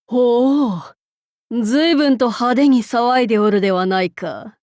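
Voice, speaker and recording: An imperious female demon king from a video game. She talks slowly, in archaic and lordly phrases, haughty yet amused. The recording is close and very dry. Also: fairly high pitch, darkish tone, no room sound. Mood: commanding. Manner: archaic, lordly, commanding speech. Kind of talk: Japanese game character voice lines.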